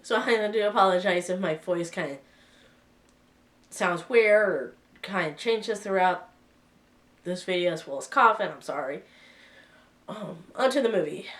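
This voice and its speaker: A woman's voice: mid-range voice, far from the mic. A woman with a cold giving a casual spoken review, hesitant, with many fillers and restarts. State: sick with a cold. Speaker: vlogger reviewing a film.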